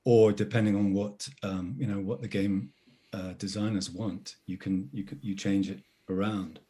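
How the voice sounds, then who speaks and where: A voice actor in a casual interview, halting and searching for words. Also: male voice, lowish voice, level tone, dark tone, a little hiss or hum.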